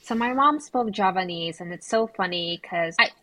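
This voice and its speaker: A woman's voice: mid-range voice, animated, moderate pace, sharp tone, no room sound, low-quality recording. A young woman from Indonesia, a podcast guest, talking casually and filling her speech with "like". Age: young adult. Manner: chatty, heavy use of "like" fillers. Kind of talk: casual conversational interview.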